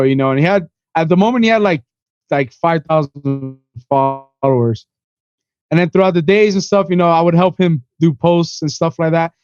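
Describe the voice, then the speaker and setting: Man in his twenties, slightly high, smooth clear voice, rambling casual storytelling, dry muffled sound. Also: darkish tone, no echo. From Miami, USA. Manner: rambling, peppered with "you know" and "bro". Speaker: podcast guest, event organizer.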